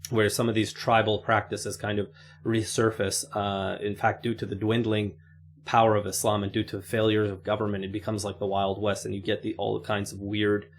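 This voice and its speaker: A man's voice: fairly low pitch, flat delivery, moderate pace. A man hosting his channel, talking through his views on an interview in a loose, conversational way, with many fillers and a habit of saying okay. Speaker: channel host reviewing an interview. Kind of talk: casual spoken commentary.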